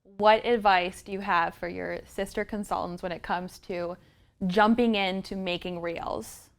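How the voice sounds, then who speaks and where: Woman host, affirming and encouraging, smooth clear voice. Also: medium pitch, steady tone, unhurried. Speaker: interview host. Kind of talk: friendly interview hosting.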